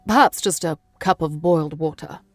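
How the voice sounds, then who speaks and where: A woman voicing a cultured British gentleman barrister in an audiobook, precise, courteous and aloof. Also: deep voice, moderate pace, no room sound, faint music behind. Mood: fastidious. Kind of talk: audiobook character voice.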